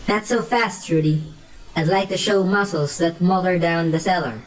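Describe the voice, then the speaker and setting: Actor as brash young guy, radio drama; curious, slangy, easily amazed, medium pitch. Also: moderate pace, natural voice, rough recording. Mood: eager. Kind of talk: radio play acting.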